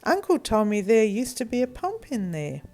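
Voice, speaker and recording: A woman's voice: on the high side, very animated, moderate pace, mellow, soft background music. A woman voicing an eager, knowing young farm boy with a matter-of-fact manner in a children's story.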